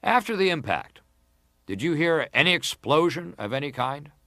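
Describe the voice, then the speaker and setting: Animated, probing male senator. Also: on the high side, moderate pace, no echo, studio quality. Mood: pressing. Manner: formal, pressing, interrogating. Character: probing, insistent male senator leading a formal inquiry. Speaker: actor reading testimony. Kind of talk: dramatized reading of hearing testimony.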